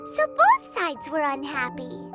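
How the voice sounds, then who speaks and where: Casting: tiny chirpy companion girl, very high squeaky voice, huge swoops, game music loud behind. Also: huge pitch swings, slow pace, exaggerated voice. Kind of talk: video game voice acting.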